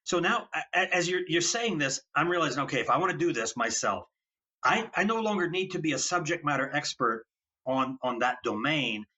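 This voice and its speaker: A man's voice: on the high side, lively intonation, brisk, pushed and bright. A man hosting a podcast interview, speaking with enthusiasm and thinking aloud, repeating words as he goes. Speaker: podcast host. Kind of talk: conversational interview. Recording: dull lo-fi sound.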